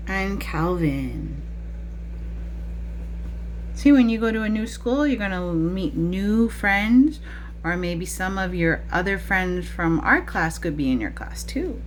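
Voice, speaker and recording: Low-pitched woman teacher, noisy recording. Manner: teacherly, asking frequent questions of young children. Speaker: preschool teacher. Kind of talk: interactive picture-book read-aloud for young children.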